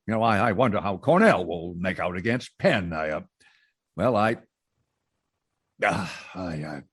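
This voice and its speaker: An actor playing a polite, awkward boss in a radio comedy, hesitant and courteous with a gravelly voice. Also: male voice, low voice, animated, measured pace, a bit bright, full character voice. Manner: hesitant, courteous, hemming and hawing. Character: polite, awkward middle-aged male boss as guest. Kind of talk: old-time radio comedy acting.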